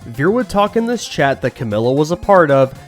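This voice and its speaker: A man's voice: fairly high pitch, sing-song, a little fast, faint music behind. A man hosting a scripted commentary video in a blunt, critical manner.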